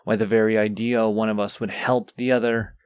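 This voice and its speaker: A man playing a proud, condescending wounded dragon in a fantasy audio roleplay, pompous and deliberate in his phrasing. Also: lowish voice, flat delivery, clear, resonant tone, no echo, close to an everyday voice. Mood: scornful, haughty.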